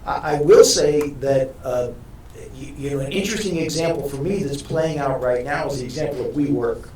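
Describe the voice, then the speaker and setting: A man on a panel answering in a halting way, often repeating words as he starts. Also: medium pitch, a little mellow, far from the mic, slight background noise. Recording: echoey hall from afar.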